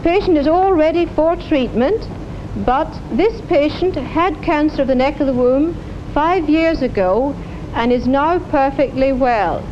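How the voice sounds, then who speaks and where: A woman doctor explaining a treatment in a measured, instructive way as a live television demonstration. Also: high-pitched. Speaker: doctor presenting radiotherapy treatment. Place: hospital radiotherapy department. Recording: dull, noisy 1950s television recording.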